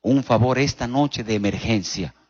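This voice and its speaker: A man with a Dominican accent preaching a sermon as a pastor, telling stories emphatically with rhetorical questions and dramatic pauses. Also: mid-range voice, darkish tone, slightly rough. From Dominican Republic. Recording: dull recording in a reverberant hall.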